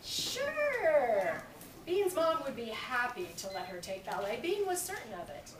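A woman's voice: fairly high pitch, moderate pace. A middle-aged American woman reading a children's story aloud expressively, projecting to young listeners. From California, US. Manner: animated, projecting to a young audience. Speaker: children's author reading at a library. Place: library reading area, indoors. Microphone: camera a few metres away. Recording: echoey, noisy room, distant camera mic.

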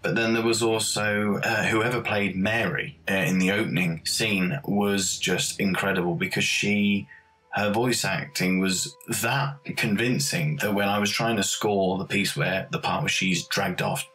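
A man's voice: lowish voice, fairly even intonation, a little slow, sharp tone, low-quality recording. A man, a composer, talking casually in an interview about his own work. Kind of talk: casual behind-the-scenes reminiscence.

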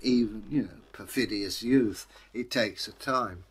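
A man's voice: on the low side, measured pace, breathy edge. A man with an English accent, telling a folktale aloud as a storyteller, with wry, conversational asides. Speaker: storyteller, channel host. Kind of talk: animated oral folktale storytelling.

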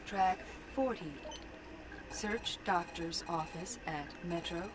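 A woman's voice: on the low side, slow pace, noisy background. A woman voicing an impassive dispatch computer, giving clipped, impersonal commands in an audio drama game.

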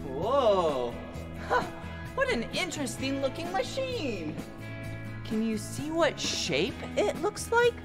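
A man's voice: very high-pitched, wildly swooping pitch, takes it slowly, music in the background. A young man hosting a children's educational show at an indoor ice rink, full of exclamations and giggles. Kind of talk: animated educational narration for toddlers.

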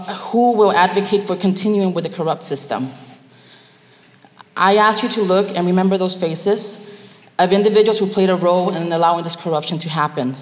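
A middle-aged woman from South Texas reading a solemn, firm prepared statement as a parent at a public meeting. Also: medium pitch. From South Texas, United States. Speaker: parent speaking at public comment. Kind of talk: formal prepared speech, read out. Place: large indoor board meeting room. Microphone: gooseneck podium microphone. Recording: echoey, reverberant meeting hall.

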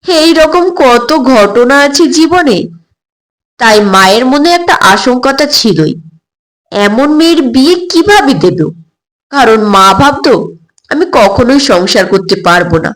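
A woman with a fairly high voice reads an audio story. She tells it in the first person, as an expressive narrator. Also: a little slow, low-quality recording.

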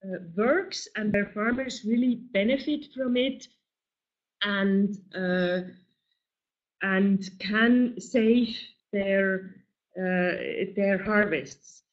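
A moderator speaks slowly and courteously, guiding a webinar discussion with frequent hesitations. The call audio sounds dull and lo-fi. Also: mid-range voice. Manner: polite, hesitant, many fillers. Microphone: video call.

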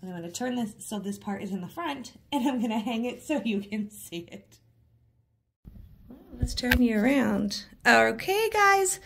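A woman with a froggy, hoarse voice chats through a craft tutorial while working at a coffee table in her living room. She is self-deprecating and laughs at her own mistakes. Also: fairly high pitch, lively intonation, normal speed, a bit bright, slight background noise. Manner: chatty, self-deprecating, giggly. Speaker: craft vlogger. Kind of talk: casual DIY tutorial narration.

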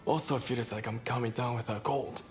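An actor playing a courteous wounded samurai in a video game, speaking in an earnest, polite, measured manner. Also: male voice, mid-range voice, steady tone, natural voice. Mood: earnest, regretful. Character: grateful, courteous wounded samurai activist recovering. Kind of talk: dramatic video game cutscene acting. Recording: game dialogue over clear background music.

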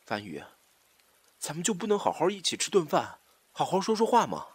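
An actor playing an obsessive, scheming jilted lover in a Chinese audio drama, swinging from soft pleading to taunting menace. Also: male voice, on the high side, huge pitch swings, fairly quick, husky, no echo, heavily acted. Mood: plaintive coaxing.

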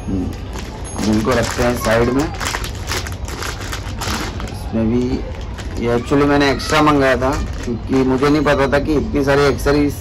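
Chatty man unboxing gear, flat-voiced. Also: medium pitch, moderate pace, pauses often, far from the mic. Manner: relaxed, chatty, talks while handling gear. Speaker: motovlogger. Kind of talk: casual unboxing vlog. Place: small room at home, indoors. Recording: noisy home recording.

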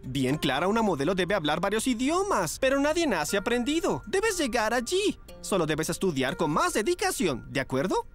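A man's voice: high-pitched, moderate pace, soft background music. A man voicing a flamboyant, theatrical young fashion agent and caring mentor in a cartoon dub. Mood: advising, reassuring. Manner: theatrical, expressive. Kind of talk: animated series dub in Spanish.

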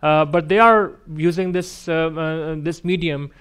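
A man's voice: a little high, fairly even intonation, measured pace, a bit bright, clean tone. A man from Pakistan with a Pakistani accent, speaking semi-formally as a panelist at a conference. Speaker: panelist, digital rights journalist. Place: seated on stage in a conference room.

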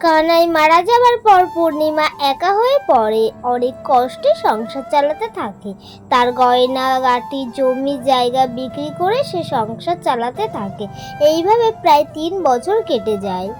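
A woman's voice: high-pitched, animated, normal speed, loud music behind the voice. A woman narrating a scripted cartoon folk story as its storyteller.

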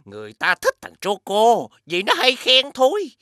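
A woman's voice: on the high side, wildly swooping pitch, normal speed, sharp tone, full character voice. A woman voicing a mischievous, rude insect henchman with exaggerated, conniving delivery in a cartoon. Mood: scheming. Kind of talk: children's animated series dubbing.

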